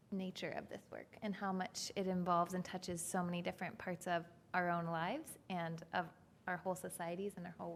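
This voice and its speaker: A woman's voice: on the low side, vocal fry, a little hiss or hum. A woman moderating a panel discussion who thanks speakers and introduces them graciously.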